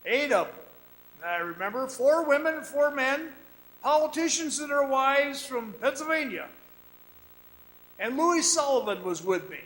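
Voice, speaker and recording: An actor playing a cocky young mine guide in a radio drama, folksy and coaxing, moving between narration and dialogue. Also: male voice, very high voice, expressive, unhurried, long pauses, a bit bright, exaggerated voice, rough recording. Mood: reminiscing. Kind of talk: old-time radio drama acting, part narration, part dialogue.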